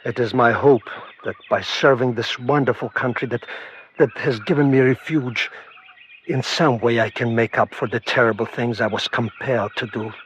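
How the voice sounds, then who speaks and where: An actor playing a humble, haunted refugee scientist who speaks formally and earnestly in an old radio drama. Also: male voice, mid-range voice, steady tone, moderate pace, fairly bright tone, close to an everyday voice, poor audio. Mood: penitent, humble. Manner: formal, deferential, careful phrasing. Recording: vintage radio recording, faint noise.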